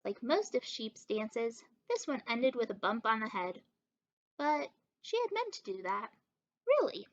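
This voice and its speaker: High, animated young woman reading a storybook. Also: unhurried, muffled recording. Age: young adult. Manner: expressive, addressing young children. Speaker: story time reader. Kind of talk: children's picture book read-aloud. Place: living room indoors.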